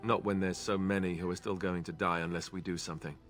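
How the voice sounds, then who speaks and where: An actor playing an earnest, hesitant young warrior in a fantasy video game, dutiful and weighed by grief. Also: male voice, low voice, level tone, quick pace, fairly bright tone. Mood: remorseful, sombre. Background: game music.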